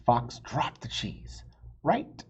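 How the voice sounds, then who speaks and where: A man reads a storybook aloud to children, pausing to ask them questions. His delivery is slow with a wildly swinging pitch. His voice is husky, and the recording sounds dull and lo-fi. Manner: animated, asks children questions. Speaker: teacher reading to children.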